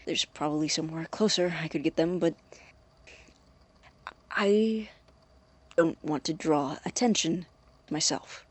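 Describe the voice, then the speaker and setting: An actor playing a secretive, obsessive young scientist who records experiment logs in a halting, deliberate, formal way, as in an audio drama. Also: male voice, on the high side, dry recording. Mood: conflicted, driven. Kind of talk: dramatic audio-diary monologue.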